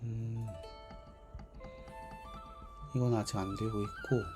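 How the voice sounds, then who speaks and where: A man with a hoarse, worn voice giving casual livestream commentary as a game developer, thinking aloud haltingly. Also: fairly low pitch, flat delivery, music in the background. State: hoarse, strained voice from overuse.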